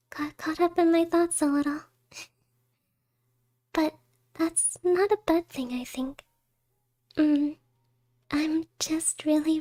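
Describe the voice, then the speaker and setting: A very high, sweet-pitched young wife, acted by a woman in a romantic ASMR roleplay. She is bashful and adoring, talking slowly in soft, hesitant phrases that often slip into whispers. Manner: hesitant, tender, soft-spoken with breathy whispers.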